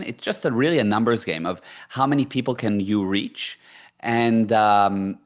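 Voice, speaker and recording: A middle-aged man who grew up partly in South Africa and whose first language is Hebrew talks in a casual podcast interview. His voice sits at an ordinary mid pitch. He drives his points home by repeating key phrases. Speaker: guest, entrepreneur and coach.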